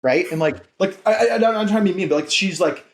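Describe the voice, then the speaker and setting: Man, higher-pitched, chatting casually. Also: fast. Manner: informal, frequent "like", emphatic repetition. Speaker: company founder and CEO, interview guest. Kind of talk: casual podcast conversation.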